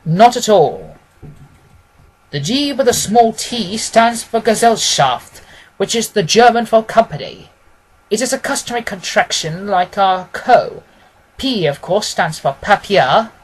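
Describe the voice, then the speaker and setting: A man voicing a self-assured male detective in an audiobook, keenly observant and gently mocking, with an emphatic, didactic manner. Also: sits high, animated, measured pace, slightly rough, voice pushed up, exaggerated voice, rough recording. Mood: instructive. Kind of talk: dramatised audiobook reading.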